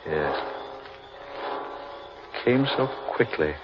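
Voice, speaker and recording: Grim fatalistic man in an old radio horror show, low ominous voice, dull lofi sound. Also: sing-song, noisy background, exaggerated voice. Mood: grim, foreboding. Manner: ominous, deliberate, theatrical. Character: grim, fatalistic man lost in haunted forest.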